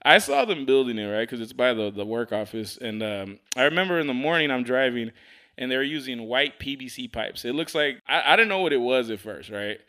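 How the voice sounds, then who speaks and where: A man's voice: mid-range voice, lively intonation, a little fast, sharp tone, smooth. A man hosting a podcast, talking in a casual, slangy, profane way and telling stories with animation. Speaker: podcast host.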